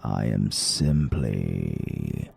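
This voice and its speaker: A man with a British accent voicing a demonic British gentleman who drawls slowly and menacingly. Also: lively intonation, gravelly, full character voice. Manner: slow, deep, drawling and menacing.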